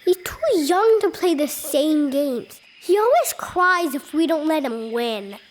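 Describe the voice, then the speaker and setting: A performer playing an earnest, easily exasperated little child with a whiny, expressive delivery in an animated storytime. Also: high-pitched, unhurried, a little hiss or hum.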